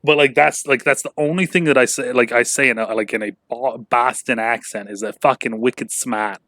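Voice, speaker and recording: A young man in his twenties hosts a casual podcast in a middle-pitched voice. He rambles, restarts sentences and drops swear words. The sound is dry and close, with no room around it. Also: fairly even intonation, moderate pace, fairly bright tone, no room sound. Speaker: podcast host. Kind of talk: casual chat.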